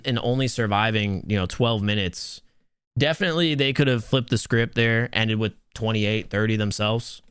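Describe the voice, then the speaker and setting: A young man hosting and casting a live mobile game tournament, chatty and talking to his chat in a soothing, relaxed voice. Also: medium pitch, clean tone, no room sound, studio quality. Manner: chatty, talks to chat, restarts words. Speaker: tournament host and caster. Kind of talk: live stream esports commentary.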